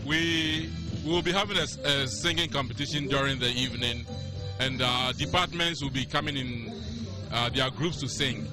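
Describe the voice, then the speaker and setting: A man's voice: mid-range voice, normal speed. A man being interviewed at a festive event, jovial and joking between answers. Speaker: airline executive interviewee. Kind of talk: on-location interview. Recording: music playing behind at an event.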